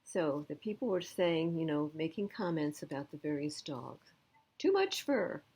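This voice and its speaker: A woman reading a storybook aloud to children, expressive, pausing for simple explanatory asides. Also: sits low, slightly rough. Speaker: storytime reader.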